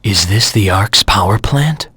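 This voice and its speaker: Game role: vengeful creature anti-hero, very husky and gravelly, terse and grim, close dry sound. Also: male voice, lowish voice, animated, a little slow, bright, forceful tone, no echo, heavily acted. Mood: guarded, focused. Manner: grim, terse, self-serious. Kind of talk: video game character voice lines.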